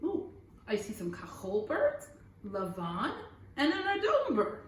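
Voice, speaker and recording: A woman with a high, very animated voice reads a children's picture book like a teacher at storytime. She is expressive and often stops to ask questions or point at pictures. The room around her sounds echoey. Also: a little slow, distant mic, a little hiss or hum. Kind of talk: animated read-aloud storytelling for young children.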